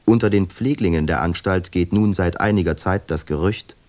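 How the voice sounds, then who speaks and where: A man's voice: deep voice, normal speed, darkish tone, lightly acted. A man narrating a radio play in a sober, detached manner with long winding sentences.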